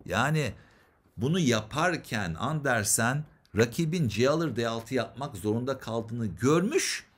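A man's voice: medium pitch, lively intonation, measured pace, breathy edge. A man giving instructional chess commentary, explaining moves and posing questions that he then answers.

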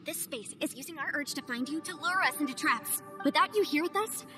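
A woman's voice: sits high, brisk, music in the background, close to an everyday voice. An actress playing a confident young woman legal adviser, earnest and reasoning things out aloud. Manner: analytical, earnest, persuasive.

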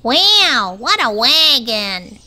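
A woman's voice: fairly high pitch, sing-song, very slow, sharp tone, heavily acted. A woman voicing a small, eager cartoon animal friend in a picture book, exclaiming in a wide-eyed manner. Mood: amazed.

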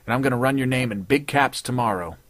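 A man's voice: medium pitch, fast talker, fairly bright tone, no echo, lightly acted. A man voicing a cocky, wisecracking male newspaper columnist with a taunting, sardonic delivery.

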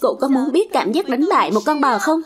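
A woman's voice: sits high, sing-song, brisk, a crowd in the background. A woman voicing a bold, reckless young cartoon character who is eager and teasing. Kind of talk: Vietnamese cartoon dub. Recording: music playing under voice.

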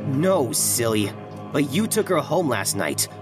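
A man's voice: mid-range voice, big pitch swings, a little slow, far from the mic, music in the background. A man playing a vengeful, insistent jealous husband in a horror audio drama. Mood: menacing. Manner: insistent, interrogating.

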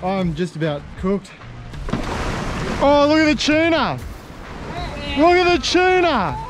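Excitable young Aussie fisherman outdoors. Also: very high voice, slow, over music. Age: young adult. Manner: excitable, shouts when fish bite. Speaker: fishing vlogger. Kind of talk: casual outdoor fishing vlog. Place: outdoors on rocky island shore by the sea. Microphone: action camera.

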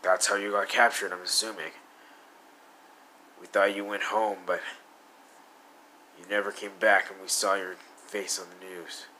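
A man's voice: sits low, flat delivery, unhurried, pauses often, sharp tone, slight background noise, rough recording. A man voicing a brooding young male villain with blue fire, muttering and sometimes whispering in a fan audio roleplay.

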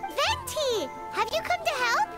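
A woman's voice: very high voice, huge pitch swings, a little slow, over loud music, full character voice. A woman voicing a tiny, chirpy, childlike floating fairy companion in a video game. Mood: excited.

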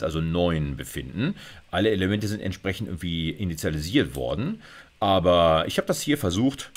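A middle-aged man giving an informal, conversational lecture, often punctuating explanations with little check-in words. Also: low voice. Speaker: university computer science lecturer. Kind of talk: informal video lecture with slides.

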